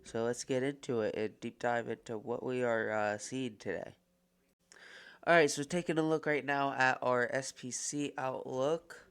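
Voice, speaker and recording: A man's voice: medium pitch, steady tone, fairly bright tone, clear, resonant tone. A man with a cold and a stuffed-up voice, reading a casual weather forecast and stumbling over place names. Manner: reads place lists haltingly, stumbles on names.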